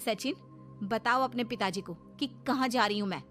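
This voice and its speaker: Woman voicing a defiant young wife, high-pitched. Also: moderate pace, fairly bright tone, faint music behind, natural voice. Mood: defiant, sarcastic. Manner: argumentative, sarcastic. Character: defiant young city-bred wife, outspoken and indignant. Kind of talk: Hindi cartoon story dialogue.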